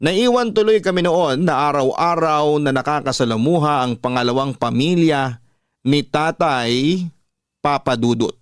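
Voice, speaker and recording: A man's voice: fairly high pitch, a little slow, smooth, no room sound, clean studio sound. A man hosting a radio story show, reading a listener's letter as dramatic scripted narration. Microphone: close studio microphone, dry. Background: soft music.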